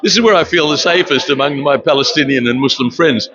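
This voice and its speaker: An older man born in Romania, giving an earnest street interview at an outdoor protest, speaking haltingly with many fillers. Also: fairly high pitch, a crowd in the background. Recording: dull lo-fi with loud outdoor noise.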